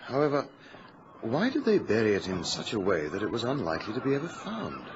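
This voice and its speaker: An actor playing a polite, respectful colleague at a dig in a radio drama, speaking formally. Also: male voice, on the low side, wildly swooping pitch, brisk. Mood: cordial. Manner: courteous, formal. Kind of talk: period radio drama acting. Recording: muffled radio drama with clear background noise.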